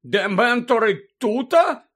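A man's voice: fairly high pitch, expressive, slow, fairly bright tone, heavily acted. A man voicing a squat, boozy, shifty petty crook in a storybook, with slangy, whining, flustered speech. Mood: baffled.

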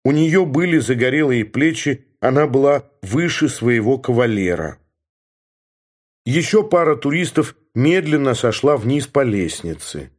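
A man giving a steady, measured first-person narration from a novel, in the manner of an audiobook reader. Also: mid-range voice.

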